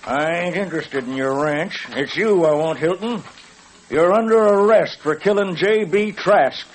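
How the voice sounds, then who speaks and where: An actor playing a blunt, commanding sheriff with an American Western accent in an old radio western. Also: male voice, high voice, unhurried, low-quality recording. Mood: stern. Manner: folksy, firm.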